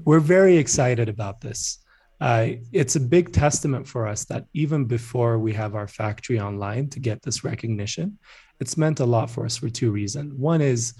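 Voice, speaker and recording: A man from Ethiopia talks as a guest in a podcast interview. His voice is soft-edged and warm in tone, at an average pitch, and he explains things conversationally as a founder would.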